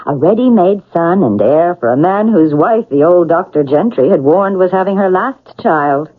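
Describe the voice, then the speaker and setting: A woman's voice: on the low side, expressive, normal speed. An actress in a radio drama playing a cold, scheming, sardonic woman with a theatrical, calculating manner. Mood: mocking, bitter. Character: cold scheming housekeeper's daughter plotting murder, sardonic woman. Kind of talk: 1970s radio drama acting. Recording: muffled, lo-fi old radio broadcast.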